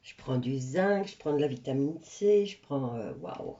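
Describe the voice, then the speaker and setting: A woman's voice: extremely low pitch, very animated, a little slow, dark tone, dull audio. A woman with a stuffy cold doing a casual tarot reading for viewers, chatty and rambling with many hesitations. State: stuffy nose from a cold, coughing. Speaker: tarot card reader.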